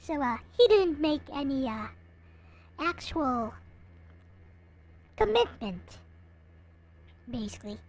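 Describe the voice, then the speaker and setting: A woman's voice: high voice, very animated, takes it slowly, long pauses, a little hiss or hum. A woman livestreamer chatting casually to her viewers in a hesitant, rambling way. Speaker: live streamer, host.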